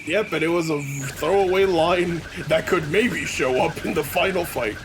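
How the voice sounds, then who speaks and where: Man, fairly high voice, casual game commentary, sarcastic, joking, loud game music behind. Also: moderate pace. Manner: sarcastic, mocking. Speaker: let's play commentator.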